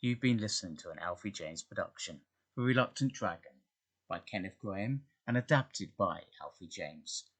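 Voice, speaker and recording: Low-voiced male credits announcer. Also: a bit bright.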